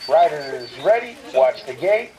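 A man with a mid-pitched, swinging voice makes formulaic race start calls as a gate announcer. The camera pickup outdoors is very noisy. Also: very animated, measured pace, far from the mic, highs cut off. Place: played outdoors at a BMX start ramp. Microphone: camera mic a few metres away.

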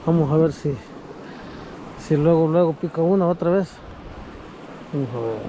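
A man, talking casually to his viewers while fishing outdoors on ice and by a creek. He is chatty and gets excited when the fish bite. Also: fairly high pitch, dull audio. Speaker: fishing vlogger. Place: outdoors on a frozen reservoir and by a creek. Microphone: action camera worn on the body. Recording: wind and outdoor noise in the background.

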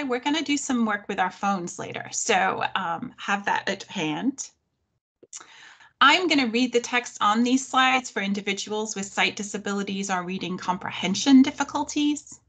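A middle-aged woman from the North of England presents a workshop over a video call, her accent softened after decades in America. She speaks clearly and at a measured pace, friendly with touches of humor, into a webcam in her living room. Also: medium pitch. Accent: mild Northern English, softened by thirty years in the US. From Sheffield, North of England. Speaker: history professor presenting a workshop. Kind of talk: online presentation.

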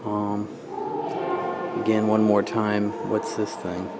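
A man films a casual walk-through and comments as he goes, sounding quietly awed. His voice sits on the low side and he speaks very slowly, with clear noise behind him on the handheld camera audio. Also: monotone. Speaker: amateur videographer and music fan. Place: inside a church beside the organ. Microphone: handheld camera, operator behind it.

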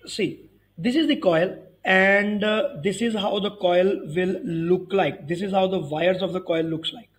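A man's voice: high voice, measured pace. A man in his thirties giving an explanatory physics tutorial, emphatically repeating key phrases. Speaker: physics teacher.

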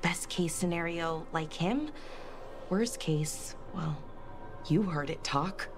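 An actress playing an earnest young elven scholar in a video game, thoughtful and a little hesitant. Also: female voice, fairly low pitch, takes it slowly, over music. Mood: uneasy, thoughtful. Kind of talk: video game character dialogue.

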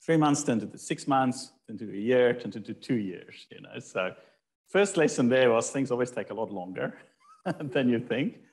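A South African man in his forties telling a meandering, relaxed story of his career as a startup founder at a live talk. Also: mid-range voice, moderate pace. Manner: meandering, relaxed, with fillers and light laughs. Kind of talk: casual storytelling presentation. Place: event hall talk.

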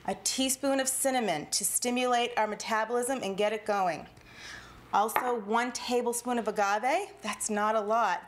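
A woman's voice: fairly high pitch, background noise. A woman in her thirties hosting a TV cooking show in a studio kitchen, explaining each step with upbeat rhetorical questions. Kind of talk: scripted TV cooking demonstration. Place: home-style studio kitchen, indoors.

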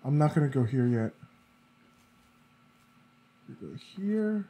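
A man's voice: medium pitch, big pitch swings, takes it slowly, vocal fry, warm tone, slightly rough, rough recording. A sniffly, congested man giving casual gameplay commentary, muttering to himself as he plays. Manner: muttering to himself while playing. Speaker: let's play gamer.

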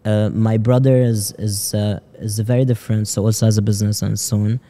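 A man in his thirties from Lebanon, a startup CEO answering interview questions in a halting way, often repeating words, on a boom-arm studio mic in an office lounge. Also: flat delivery, dark tone. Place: office lounge podcast set.

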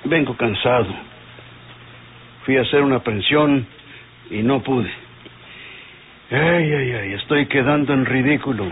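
A man's voice: medium pitch, unhurried, pauses often, natural voice. An actor playing a weary, stern, sardonic middle-aged father and lawman in an old radio soap. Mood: weary, bitter. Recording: tinny old radio recording, faint noise.

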